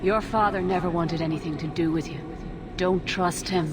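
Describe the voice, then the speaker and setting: A woman's voice: on the low side, fairly even intonation, moderate pace, close to an everyday voice. An actress playing an urgent, protective woman in a fantasy video game, grave and insistent. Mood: urgent, warning. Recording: very noisy game ambience.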